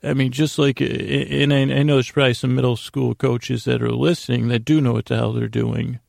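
Male bedtime podcast host, heavy vocal fry, halting and self-deprecating, wandering monologue, close dry sound. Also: mid-range voice, flat delivery, a little mellow, no room sound. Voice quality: gravelly, creaky. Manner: meandering, halting, stammering with repeated words.

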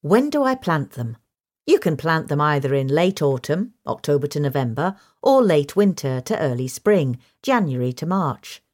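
Low-pitched British woman, expressive narration. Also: moderate pace. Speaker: narrator reading a magazine article. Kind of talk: scripted instructional narration.

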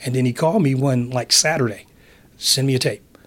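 Laid-back middle-aged man, raspy voice. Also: medium pitch, fairly quick, a bit bright. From San Francisco, USA. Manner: laid-back, chill, slangy. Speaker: interview guest, public address announcer. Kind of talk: casual conversational interview.

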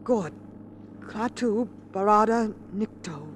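A woman's voice: medium pitch, expressive, slow, background noise. An actress playing an anxious, frightened woman in a 1950s radio drama, earnest and dramatic. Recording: old 1950s radio broadcast recording.

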